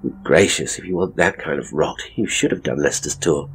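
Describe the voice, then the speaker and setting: A man's voice: extremely low pitch, fairly quick, faint music behind. A man playing a hapless, pompous middle-aged ghost tour operator in a horror audio drama, with a British accent and a grumbling, theatrical, wordy delivery. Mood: pompous, indignant. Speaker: ghost tour guide and storyteller.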